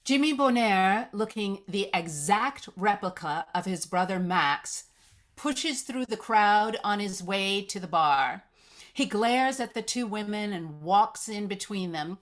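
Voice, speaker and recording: A woman's voice: mid-range voice, lively intonation, a little slow. A woman reading stage directions aloud as scripted narration at a screenplay table read.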